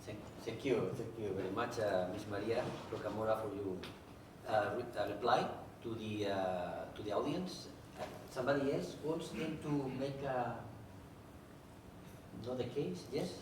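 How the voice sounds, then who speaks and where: A man's voice: on the low side, moderate pace. A man moderating a panel's audience questions, hesitant with many fillers and restarts, in a large conference room. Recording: echoey hall, distant mic, background noise.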